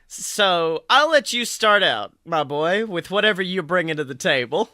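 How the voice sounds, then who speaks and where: A man's voice: sits high, very animated, moderate pace, pushed and bright, dry recording, studio quality. A man hosting a podcast with casual banter, in a playful, slangy manner. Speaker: podcast host.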